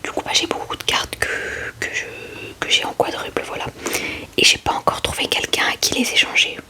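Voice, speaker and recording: A woman whispers a gentle, hesitant, unhurried ASMR commentary close to the microphone as she hosts. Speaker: ASMR host showing a card collection.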